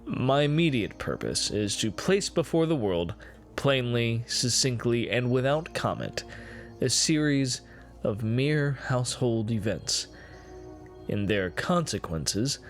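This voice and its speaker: A man with a mid-pitched voice reads gothic horror fiction aloud as a dramatic narrator. His phrasing is measured and deliberate, broken up by pauses for effect. Faint music plays behind him. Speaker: amateur reader of a classic horror story. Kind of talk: dramatic reading of gothic first-person fiction.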